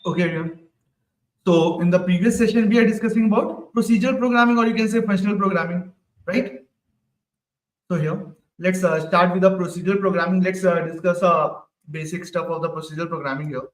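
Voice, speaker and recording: High-voiced man teaching online. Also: a little fast. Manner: repeats questions to the class, ends lines with "right?". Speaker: programming instructor. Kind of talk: live online coding class. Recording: echoey room, mic at a distance.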